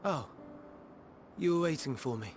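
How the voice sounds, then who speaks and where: An actor playing a polite, gentle, reserved scholar in a video game, speaking formally and softly. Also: male voice, a little high, lively intonation, moderate pace, slight background noise, natural voice. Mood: courteous. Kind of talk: video game cutscene acting.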